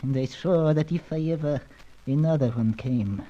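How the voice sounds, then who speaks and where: A man's voice: medium pitch, normal speed, close to an everyday voice. A man playing a boastful, menacing, reclusive mad scientist in a melodramatic adventure audio drama, with grandiose, theatrical delivery. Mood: bitter, menacing. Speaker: villain. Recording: dull lo-fi audio.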